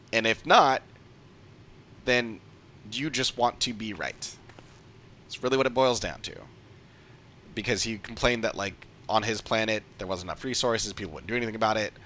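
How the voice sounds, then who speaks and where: A man's voice: on the low side, normal speed, pauses often, bright, forceful tone, slight background noise. A man giving casual, off-the-cuff livestream commentary as a game streamer, emphatic and repeating points for stress.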